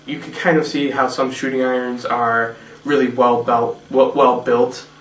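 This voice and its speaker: A man's voice: mid-range voice, fairly bright tone, slight background noise. A man chatting behind the scenes in an easygoing, offhand way. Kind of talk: behind-the-scenes casual chat. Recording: echoey room, distant mic.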